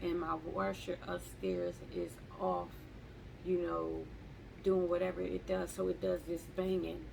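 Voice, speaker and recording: A woman talking slowly and haltingly in a reflective live journal at home, often repeating words as she searches for them. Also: lowish voice, creaky, darkish tone, a little hiss or hum, poor audio. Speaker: vlogger.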